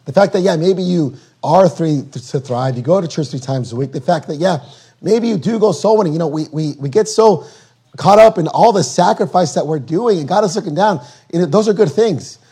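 A hoarse man preaches with a husky, darkened voice that sits a little high for a man. He reads scripture slowly and deliberately and explains it in plain, everyday words. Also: lively intonation, mellow. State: losing his voice, hoarse. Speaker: guest preacher. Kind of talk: sermon.

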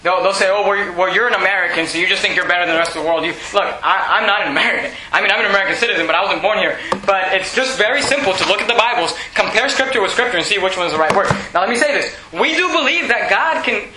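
A man's voice: high-pitched, racing, hardly any pauses. A man from Venezuela whose first language is Spanish, preaching a sermon with emphatic rhetorical questions and frequent restarts. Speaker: pastor. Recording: echoey room with faint noise.

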